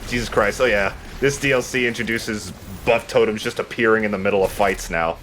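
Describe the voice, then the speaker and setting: A man's voice: on the low side, a little fast, a bit bright, a little hiss or hum. A man giving casual, chatty gaming commentary to a friend as he plays. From United States. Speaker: let's play host playing the game.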